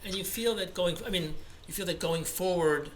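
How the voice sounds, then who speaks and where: A man's voice: sits high, normal speed, a little hiss or hum, poor audio. A man interviewing a guest over a video call, asking long, rambling questions with eager interjections and restarts. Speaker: interviewer, conductor.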